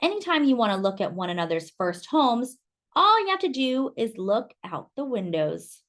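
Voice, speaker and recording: A cheerful schoolteacher speaks brightly to her class, her voice lifting high with encouragement, as a woman reads her lines. She sounds warm and upbeat. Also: big pitch swings, moderate pace.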